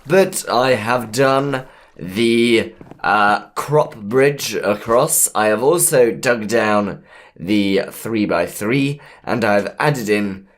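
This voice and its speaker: A man with a hoarse voice from a cold, easygoing and punny as he plans his moves aloud while commentating a game. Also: on the low side, very slow. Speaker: gameplay commentator. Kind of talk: let's play commentary.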